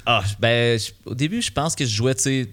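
A man in his thirties with a Québécois accent, a musician guest answering interview questions in a relaxed, rambling way with many fillers. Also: medium pitch, moderate pace. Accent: Québécois French. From Quebec. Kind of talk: casual podcast interview.

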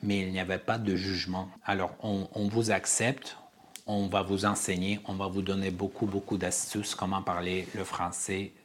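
Deep-voiced man speaking earnestly. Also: little pitch movement, normal speed, slight background noise. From Armenia. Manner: earnest, searching for words. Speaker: playwright and director, guest. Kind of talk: interview conversation.

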